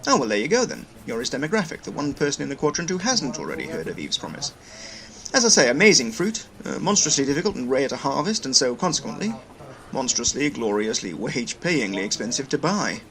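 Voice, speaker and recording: A brisk space station logistics boss in a sci-fi audio drama. He talks in long, wry explanations, with a mid-pitched voice that swoops up and down in a lively way. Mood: wry, expansive.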